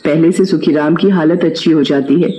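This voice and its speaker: A man's voice: fairly high pitch, fairly even intonation, brisk, warm tone. A man expressively narrating a scripted children's story, with a roomy echo on his voice.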